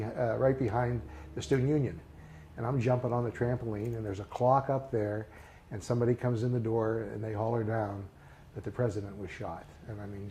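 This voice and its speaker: An older man reminiscing in a casual interview, speaking haltingly with many fillers and restarts. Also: fairly low pitch, dark tone, slight background noise. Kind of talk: recalled personal memories in a casual interview.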